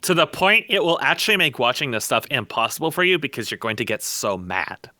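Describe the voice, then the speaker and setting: A man's voice: mid-range voice, big pitch swings, a little fast, pushed and bright, no echo, clean studio sound. A man co-hosting a podcast in casual, opinionated discussion, rambling and emphatic, repeating words for stress. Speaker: podcast co-host.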